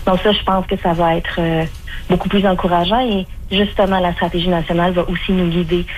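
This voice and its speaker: A woman's voice: fairly low pitch, flat delivery, fairly quick, slight background noise, rough recording. A middle-aged woman with a Québécois accent, a clinical spokesperson answering radio interview questions with many fillers and restarts. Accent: Québécois French.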